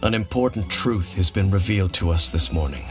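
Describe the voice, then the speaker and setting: Reserved mysterious wanderer, lowish voice, formal measured speech, video game dialogue, soft music behind. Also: male voice. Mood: grave.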